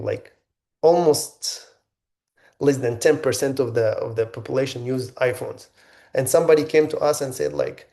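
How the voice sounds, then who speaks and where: Egyptian-born guy hosting a webinar, mellow low-key tone, lots of um and restarts. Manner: halting, many restarts and fillers. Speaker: webinar host. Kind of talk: webinar presentation.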